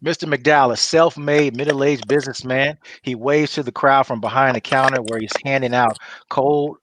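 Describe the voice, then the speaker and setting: A man's voice: mid-range voice, steady tone, moderate pace, fairly bright tone. A man reading stage directions as narrator at a script table read.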